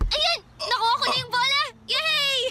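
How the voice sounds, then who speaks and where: A young Filipino teenage girl in a radio drama. Her voice is extremely high, and she comes across as polite and eager to please. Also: normal speed. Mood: excited, admiring. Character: polite, eager thirteen-year-old granddaughter and niece.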